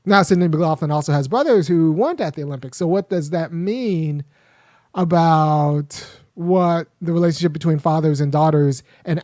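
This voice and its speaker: Male host, casual rambling talker, slightly high voice, dry close recording. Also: warm tone, no echo. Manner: rambling, frequent restarts and fillers. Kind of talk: casual commentary monologue.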